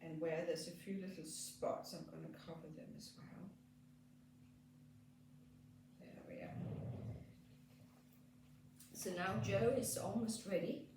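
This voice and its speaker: A woman with a Danish accent, a skin therapist gently explaining a treatment as she works, with frequent fillers. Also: lowish voice, creaky, recorded from a distance, noisy background. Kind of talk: treatment demonstration. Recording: echoey room, off mic.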